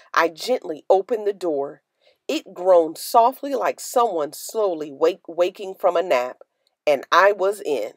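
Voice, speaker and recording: A woman reads a storybook aloud as narrator. Her pace is unhurried and her pitch moves in lively swoops, each phrase carefully shaped. The sound is dry and close. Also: no room sound. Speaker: children's book read-aloud narrator.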